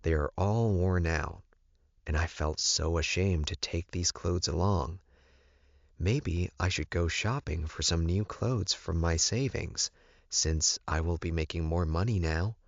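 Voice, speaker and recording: A man's voice: low voice, unhurried, dry recording, highs cut off. A man voicing a modest, polite young woman, an earnest werewolf heroine in an audiobook. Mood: musing.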